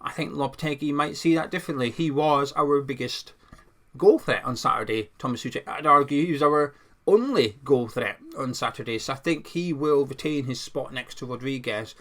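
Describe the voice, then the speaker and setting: A young man with a croaky voice, giving his football opinions in a casual, conversational monologue with some restarts as a channel host. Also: medium pitch, moderate pace, fairly bright tone.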